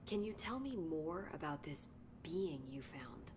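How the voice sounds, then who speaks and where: An actress playing an eager researcher in a video game, explaining findings in a brisk, informative way. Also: female voice, sits low. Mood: curious. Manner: brisk, informative, slightly excited. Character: eager female bureau researcher, brisk and curious. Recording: game audio with clear noise.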